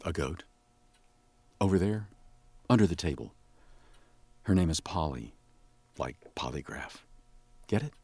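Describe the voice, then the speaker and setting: Deadpan interrogator, very deep, swooping intonation, insistent, comic radio play. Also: male voice, unhurried, long pauses, husky, no room sound, exaggerated voice. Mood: calm, deadpan. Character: deadpan, insistent, absurdly serious male interrogator. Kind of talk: comedic audio drama acting.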